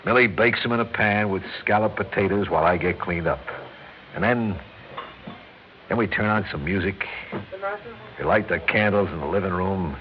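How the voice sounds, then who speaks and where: An actor playing a tired, devoted working-class young husband in an American radio drama, plain-spoken and stammering when pressed, with an American accent. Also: male voice, sits low, moderate pace, long pauses, close to an everyday voice. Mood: fond, proud. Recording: muffled, noisy old radio recording.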